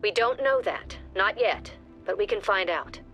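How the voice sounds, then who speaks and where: A woman playing a stern, composed director of a security agency, giving formal, measured briefings in a video game. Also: mid-range voice, lively intonation, fairly bright tone, highs cut off. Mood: grave, resolute. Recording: music behind the voice.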